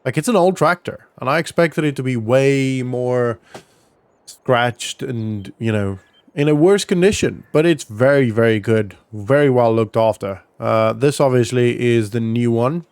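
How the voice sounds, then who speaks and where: A male gaming vlogger with a clean, resonant voice at a middling pitch, recorded dry with no room sound. He talks casually and conversationally, explaining what is going on in a roleplay game as he plays. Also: measured pace, a little mellow, clean tone, no room sound. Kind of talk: casual roleplay gameplay commentary.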